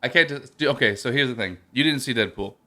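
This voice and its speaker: A man's voice: medium pitch, fast, sharp tone. A man co-hosting a casual comedy podcast, who jokes and explains things at length. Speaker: podcast co-host. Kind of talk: casual chat. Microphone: headphones with a remote call setup.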